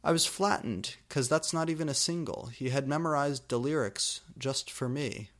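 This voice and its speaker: A man's voice: mid-range voice, fairly bright tone. A man hosting a reading of amateur fan fiction, pronouncing every typo and abbreviation exactly as written. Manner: deliberately reads typos and abbreviations literally.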